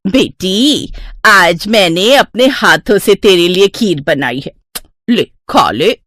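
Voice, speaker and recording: A woman's voice: mid-range voice, expressive, slow, fairly bright tone, dry recording. A woman voicing a scheming middle-aged stepmother in an audio folk tale, with a theatrical manner. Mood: falsely sweet.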